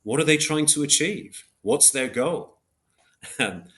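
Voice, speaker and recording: A man giving an academic explanation in an interview, measured and hesitant, working step by step through examples. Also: fairly high pitch, animated, fairly bright tone. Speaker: podcast guest, philosopher of biology.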